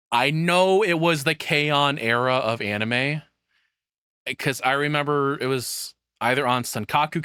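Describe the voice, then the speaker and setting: A man streams his live reactions in a loose, rambling, profane style. His voice sits at an average male pitch. The sound is dry and close, with no room to it. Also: bright, forceful tone, smooth, no room sound. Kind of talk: casual live reaction commentary.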